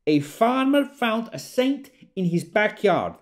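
An actor playing a devout, superstitious country believer, telling stories emphatically in an audio drama monologue. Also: male voice, high-pitched, very animated, slow, exaggerated voice. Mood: fervent. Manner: emphatic, storytelling.

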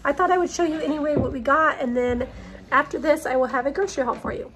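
A woman's voice: sits high, fairly even intonation, fast, a bit bright. A woman in her thirties, recovering from a cold with a strained voice, chatting casually in a home grocery haul vlog filmed on a phone in her kitchen. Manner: chatty, many ums, homey and upbeat. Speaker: vlogger. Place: farmhouse kitchen, indoors. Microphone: phone camera at arm's length.

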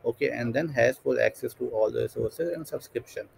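A man walks through a screen-recorded software tutorial, speaking step by step and often restarting his sentences. His voice has a gravelly edge at a mid pitch. A faint hiss sits under the recording. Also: brisk. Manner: thinking aloud, stepwise, with frequent fillers and restarts. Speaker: tutorial instructor.

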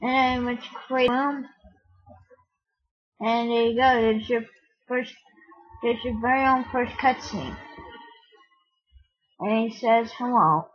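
A tutorial host casually narrating software steps in short, halting fragments. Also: mid-range voice, husky. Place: voice-over for a screen recording. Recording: dull, muffled lo-fi home recording.

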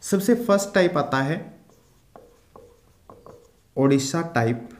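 A young male teacher lectures from a whiteboard in a small room, his slightly high voice ringing off the walls. He talks very slowly and repeats each key term as he writes it. The camera sits a few feet away. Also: expressive. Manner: slow, explanatory, repeats key terms while writing. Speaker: zoology teacher. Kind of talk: whiteboard lecture mixing Hindi and English. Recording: echoey room.

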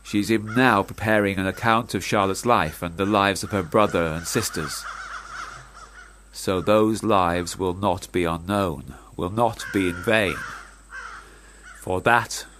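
An elderly parson and bereaved father in a radio drama. His voice sits fairly low and moves slowly and gravely. He sounds formal and devout, as if always at the pulpit. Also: a bit bright, a little hiss or hum. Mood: grave, composed. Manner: measured, preacherly, formal. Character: grieving elderly clergyman father, solemn and devout. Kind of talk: radio play acting, funeral sermon.

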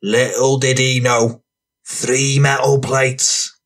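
A scruffy, menacing ex-con played by a male storyteller rants and boasts in sweary slang. The voice is rough and husky, pushed higher than the reader's own, with slow, drawn-out delivery. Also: level tone, a bit bright. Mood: fuming, ranting. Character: scruffy stumpy ex-con man, raging, boastful, menacing lout.

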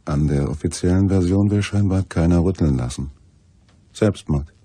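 A man's voice: sits low, normal speed, mellow, slightly rough. An actor playing a young, wry, inquisitive male journalist who probes as he talks, in a German radio crime drama. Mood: wry, brooding. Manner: wry, probing.

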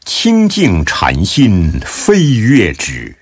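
A deep-voiced man narrates a Buddhist audiobook solemnly. His delivery is slow and measured, yet swings widely in expressive recitation. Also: no echo. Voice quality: deep, husky.

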